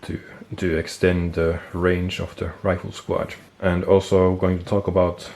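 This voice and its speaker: A man with a stuffy nose, casually explaining military gear on camera, relaxed and thinking aloud with fillers and self-corrections. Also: low-pitched, measured pace, vocal fry. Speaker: military gear collector and video host. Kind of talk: casual show-and-tell explanation.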